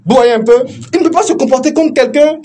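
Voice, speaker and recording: A man with a Beninese French accent arguing in a heated political debate, often halting and repeating his words. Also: very high voice, darkish tone.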